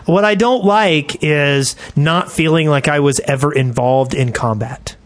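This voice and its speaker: Higher-voiced man chatting casually. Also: measured pace. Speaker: podcast co-host. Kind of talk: casual chat about a video game.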